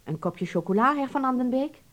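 A woman's voice: high-pitched, expressive, fairly quick. A woman acting in a Dutch radio play as a motherly, solicitous older landlady. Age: middle-aged or older.

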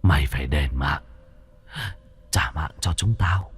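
A man's voice: rumbling low, unhurried, pitched below the performer's own voice. A man voicing a horde of vengeful ghosts in an audio horror story, chanting demands in eerie whispers and wails. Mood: menacing, wailing. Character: vengeful ghost horde wailing for lives owed.

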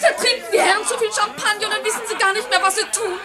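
Shrill, extremely high-voiced tavern waitress. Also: female voice, fairly even intonation, very fast. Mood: exasperated. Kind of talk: German radio play acting. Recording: loud crowd in large room.

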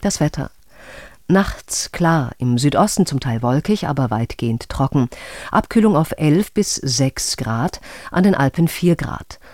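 Formal radio newsreader. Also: male voice, on the high side, no echo, clean studio sound. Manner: measured, formal, even. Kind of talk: radio news bulletin.

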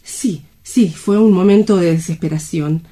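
A woman's voice: lowish voice, unhurried, warm tone. A woman acting a frightened, desperate young woman worn down by loneliness, in dramatic radio-play style. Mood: bitter, sorrowful.

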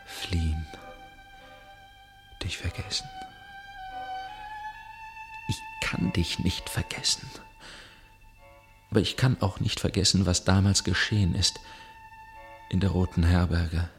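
An actor playing a tormented, lovelorn gentleman torn by conscience, speaking formally and earnestly in a radio drama. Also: deep voice, wildly swooping pitch, slow, pauses often, over music, heavily acted. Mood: anguished. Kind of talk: German radio drama acting, narration and dialogue.

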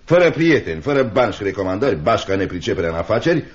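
A man's voice: on the low side, very animated. An actor in a Romanian radio play as a stern, scornful, miserly uncle, a brusque and domineering man of business. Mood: scornful, commanding. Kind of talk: Romanian radio theatre acting. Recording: dull, muffled old radio recording.

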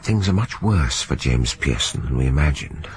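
A grave, deep-voiced solicitor. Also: male voice, animated. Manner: measured, formal. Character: grave older male solicitor. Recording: old radio drama with crowd noise.

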